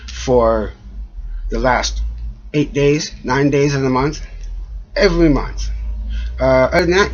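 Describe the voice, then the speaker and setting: A man with a husky, unclear voice talks in a rambling, aggrieved monologue. He speaks haltingly, pausing often as he works through his complaints. The recording is dull and lo-fi. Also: a little high. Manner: halting, frequent trailing pauses, aggrieved. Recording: dull lo-fi audio.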